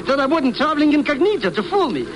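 A boastful, stammering small-time informer in a 1950s radio drama. The voice is high and jumps all over as he blusters and wheedles. The old recording is clearly noisy. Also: sing-song, fast. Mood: blustering. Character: excitable, boastful small-time informer, a comic shady sidekick.

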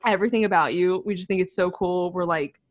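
A woman's voice: on the low side, fast talker. A young woman co-hosting a podcast from an office in her apartment, talking in a chatty, upbeat way full of "like.". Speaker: podcast co-host. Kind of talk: casual podcast chat.

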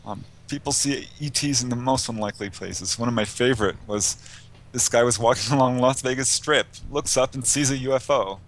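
Give a man's mid-pitched voice in a conversational interview, the guest researcher telling case stories. He speaks informally and anecdotally, with a lightly amused, chuckling manner. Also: a little fast, a bit bright.

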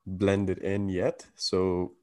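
A man from the Gulf, a guest on a podcast, talking in a thoughtful, measured way with pauses and ums. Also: deep voice, completely flat delivery, a little mellow. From Gulf region. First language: not English. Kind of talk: casual chat.

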